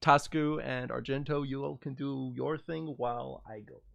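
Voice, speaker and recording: A man's voice: medium pitch, fairly even intonation, moderate pace, vocal fry, no echo, muffled recording. A man chatting casually through a tabletop role-playing game, often laughing as he narrates.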